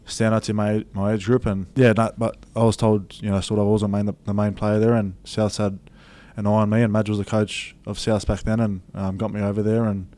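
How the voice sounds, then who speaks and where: Young man, Australian accent, low flat voice, quick relaxed answers. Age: young adult. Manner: laid-back, many fillers and restarts. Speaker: rugby league player, guest. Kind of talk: casual interview answers.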